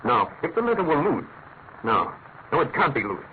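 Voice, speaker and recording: An actor playing an incisive, commanding British detective in a classic radio mystery, speaking in an emphatic, articulate way. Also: male voice, on the high side, very animated, moderate pace. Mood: intense, preoccupied. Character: incisive male detective, brisk, commanding, theatrically analytical. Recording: tinny, noisy old radio recording.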